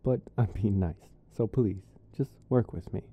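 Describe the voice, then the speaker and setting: A low-pitched young college vampire played by a man talks straight to the listener in an ASMR boyfriend roleplay. He is soft-spoken and halting, teasing in a wry, slightly awkward way, with plain affection underneath. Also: slightly rough, dull audio. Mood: exasperated, coaxing.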